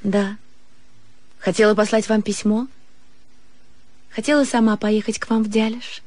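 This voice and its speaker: A woman playing a nervous, yearning young gentlewoman in a radio drama, earnest and hesitant. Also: mid-range voice, little pitch movement, unhurried, no echo, close to an everyday voice. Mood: anxious, yearning. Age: young adult. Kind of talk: Russian radio literary drama.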